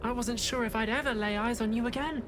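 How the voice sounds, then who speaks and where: Hesitant, gentle actress as a young acolyte. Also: female voice, fairly high pitch, fast, over music, lightly acted. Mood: wistful. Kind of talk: dramatic video game acting.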